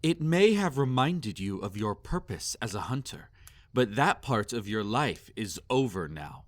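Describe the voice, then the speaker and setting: A man playing a sadistic, possessive vampire master in a roleplay audio, speaking formally and condescendingly with silky menace and drawn-out commands. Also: mid-range voice, big pitch swings, no room sound. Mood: cold, threatening. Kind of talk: roleplay audio acting, intimate and theatrical.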